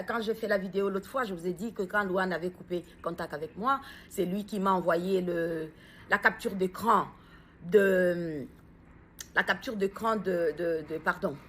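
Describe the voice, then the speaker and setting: A grieving mother speaking out in a personal video, hesitant and halting as she searches for words, then pressing earnest questions. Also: medium pitch, fairly bright tone, slight background noise.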